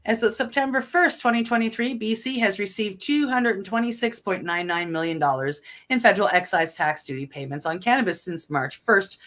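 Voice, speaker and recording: A woman's voice: medium pitch, lively intonation, fairly quick, hardly any pauses, fairly bright tone. A woman from Canada co-hosting a news show, reading stories and chatting with many fillers and restarts. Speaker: co-host reading cannabis news. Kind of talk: casual news reading and chat.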